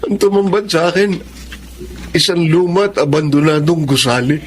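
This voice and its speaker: Male actor, Filipino accent, repentant husband, earnest radio drama delivery, slightly high voice, faint background noise. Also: normal speed, natural voice. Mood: remorseful, distressed. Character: remorseful husband and newspaper artist confessing his mistakes.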